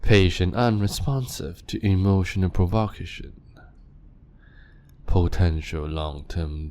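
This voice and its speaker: Brief: vampire doctor, deep, unhurried, silky, toying with his patient. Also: slight background noise. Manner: slow, silky, toying, with soft laughs. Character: male vampire doctor, calm, sadistic, clinical. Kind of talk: audio drama roleplay.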